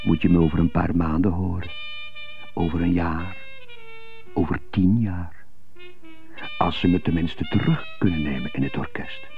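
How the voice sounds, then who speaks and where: An actor in a radio drama playing a wistful, defiant jailed jazz trumpeter who stutters and broods haltingly to himself. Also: male voice, medium pitch, creaky, heavily acted. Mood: hopeful longing. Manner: halting, broody inner monologue. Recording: slightly muffled, phone-like narrow band.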